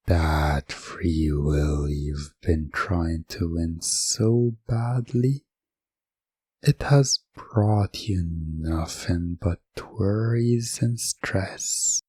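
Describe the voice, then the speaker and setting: Male hypnosis ASMR narrator: low, mellow, extremely slow and deliberate, quietly commanding. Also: slightly rough, dry recording. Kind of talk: hypnosis ASMR, scripted second-person storytelling.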